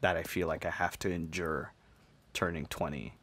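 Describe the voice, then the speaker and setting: Deep-voiced young man, profane casual chatter. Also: steady tone, normal speed, fairly bright tone, dry recording. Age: 19, turning twenty. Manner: profane, emphatic rambling. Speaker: podcast host. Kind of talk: casual chat.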